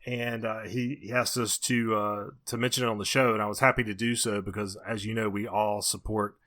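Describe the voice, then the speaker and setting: A man's voice: lowish voice, little pitch movement, a little fast, fairly bright tone. An American man co-hosting a podcast, reviewing movies in casual conversation. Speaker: podcast co-host.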